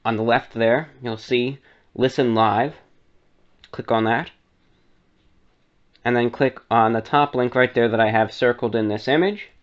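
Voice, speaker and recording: Man explaining step by step, muffled recording. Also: mid-range voice. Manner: conversational, step-by-step instructions with asides. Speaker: radio show host. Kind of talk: explanatory how-to tutorial narration.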